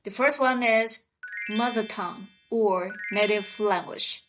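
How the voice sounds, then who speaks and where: A woman co-hosting a scripted vocabulary lesson, speaking in a slow, clear teaching manner. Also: medium pitch, over music, low-quality recording. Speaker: podcast co-host.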